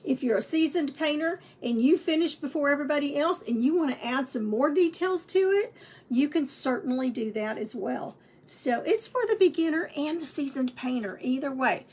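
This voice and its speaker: A woman with a slightly raspy voice, chatting through a live painting lesson with many ums and restarts. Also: sits high, expressive, normal speed. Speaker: painting instructor and shop owner.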